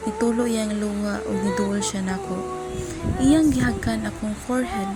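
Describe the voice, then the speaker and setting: A woman's voice: mid-range voice, moderate pace, loud music behind the voice, natural voice. A woman playing a heartbroken young woman who narrates her own love story in a radio drama. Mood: sorrowful.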